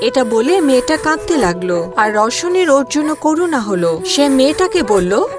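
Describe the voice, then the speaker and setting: A woman's voice: sits high, sing-song, moderate pace, over music. A woman narrating a scripted children's fairy tale.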